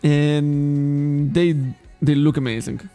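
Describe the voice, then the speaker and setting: A man's voice: on the high side, steady tone, takes it slowly, dark tone, clean tone, faint music behind. A man from Spain, a native Catalan speaker with a Catalan accent in English, chatting casually on a card shop livestream, rambling, correcting himself and laughing often. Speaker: trading card shop streamer.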